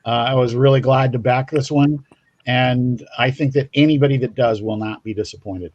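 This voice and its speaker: A man co-hosting a casual talk show, speaking in a measured, supportive way. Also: medium pitch, fairly even intonation, darkish tone, no echo, lo-fi sound. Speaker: show co-host. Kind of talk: casual endorsement chat.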